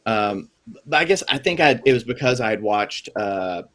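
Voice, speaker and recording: A man with a fairly low voice co-hosting a casual podcast chat over a call. He muses aloud in a thoughtful, wandering way and often trails off mid-sentence. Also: animated, moderate pace, fairly bright tone. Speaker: podcast co-host.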